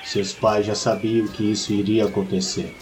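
Man acting a solemn, low-voiced Brazilian village shaman. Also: level tone, a little hiss or hum, lightly acted. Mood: firm, reassuring. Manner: measured, grave, instructive. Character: solemn village shaman, wise patient mentor to a boy. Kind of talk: scripted audio story acting.

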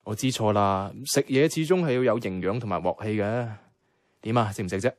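A man with a Hong Kong Cantonese accent playing a caring, easygoing young flatmate in a radio drama, talking casually and earnestly. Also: lowish voice, brisk, no room sound, clean studio sound, lightly acted. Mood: caring, coaxing. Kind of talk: conversational radio drama dialogue. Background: background music.

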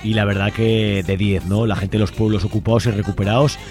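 A man's voice: lowish voice, steady tone, a little fast, a little mellow, soft background music. A man with an accent from Spain giving an informal radio report as a host, often tagging his phrases with a little question. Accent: Spanish from Spain. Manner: frequently ends phrases with a questioning tag.

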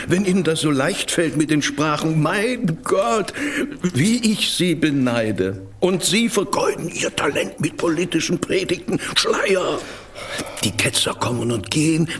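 A scheming, persuasive church official. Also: male voice, a little high, a bit bright, a little hiss or hum. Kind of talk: radio drama acting.

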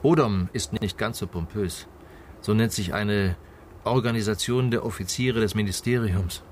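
An actor playing a sober investigator, asking measured, formal questions in a naturalistic radio drama. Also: male voice, on the low side, a little hiss or hum, natural voice. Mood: probing. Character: male investigator, sober and probing.

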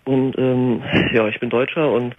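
A man's voice: mid-range voice, flat delivery, unhurried. An eighteen-year-old man calling in to a late-night talk show, speaking hesitantly with many fillers. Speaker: caller. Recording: tinny phone line.

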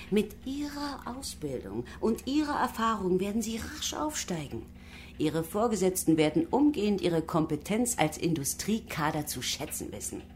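An actress in a radio drama playing a firm, patronizing job centre manager who speaks in a measured, officious, admonishing way. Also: female voice, fairly low pitch, slight background noise.

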